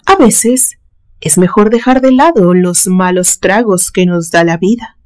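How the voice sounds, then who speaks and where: A woman voicing an elderly, enigmatic great-aunt in a storybook, calm, soft and wistful. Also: medium pitch, unhurried, close to an everyday voice. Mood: soft, melancholic. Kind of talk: dramatized storybook reading.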